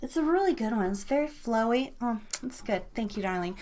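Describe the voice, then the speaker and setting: A woman with a high-pitched voice hosts a live internet radio show. She is bubbly and excitable, rambling in casual slang as she talks straight to her online audience. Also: fairly quick.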